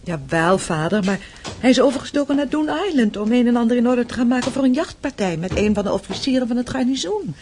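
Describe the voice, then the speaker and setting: Woman playing a high-voiced, lilting, tactful daughter. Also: lively intonation, fast talker, darkish tone, a little hiss or hum, natural voice. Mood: placating, polite. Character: sensible, tactful young daughter of gentry family. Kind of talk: radio play acting.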